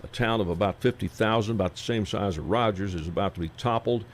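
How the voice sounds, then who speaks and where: Folksy, halting male radio host. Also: fairly low pitch, slight background noise. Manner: folksy, halting, many fillers. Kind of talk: casual radio interview.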